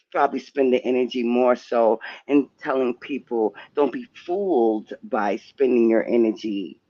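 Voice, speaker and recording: A middle-aged or older woman with a strong, low-set voice talks emphatically and forthrightly, repeating herself to drive points home. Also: dull audio. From New York, United States. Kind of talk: impassioned conversational opinion talk.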